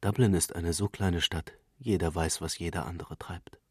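Deep, husky male literary narrator. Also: moderate pace, darkish tone, no room sound, studio quality.

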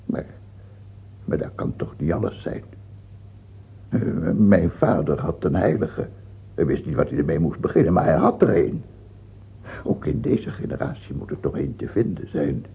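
A very raspy, creaky king out of an old radio play, heard through a narrow, tinny band. He is imperious and self-important, stubbornly set against dying, and swings from proclaiming to muttering. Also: deep voice, full character voice.

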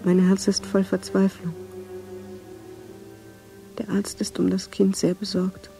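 A heartbroken young woman speaks her love letters in a radio play. Her voice is very dark and soft, subdued and even, moving slowly with sorrow over faint music. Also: mid-range voice, vocal fry. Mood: despairing. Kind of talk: radio drama letter monologue.

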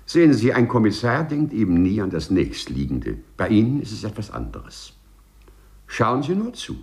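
A courteous, eccentric prisoner in 1950s radio drama style. His fairly low voice is extremely animated, precise and formal, yet full of rapt, serene pride. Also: moderate pace, vocal fry, exaggerated voice. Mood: rapt, triumphant. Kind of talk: 1950s German radio play acting.

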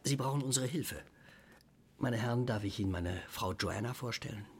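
Radio drama reverend: gentle, obliging, earnest schoolmaster, middle register. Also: male voice, medium pitch, normal speed, slightly rough. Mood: friendly. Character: small, round, mild, obliging reverend schoolmaster. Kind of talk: German radio play acting.

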